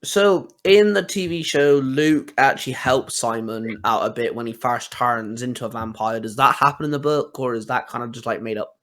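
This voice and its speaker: Mid-pitched man hosting a casual, informal chat. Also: normal speed, few pauses, fairly bright tone. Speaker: podcast host asking questions. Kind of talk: casual conversational interview.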